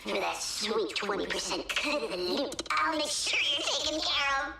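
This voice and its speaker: An actress playing a small, cheeky cat-like thief sidekick in a video game, eager and flattering in an exaggerated way. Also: female voice, high voice, moderate pace, vocal fry, reverberant, background noise. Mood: cocky. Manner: exaggerated, eager, ingratiating delivery. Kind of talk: video game character acting.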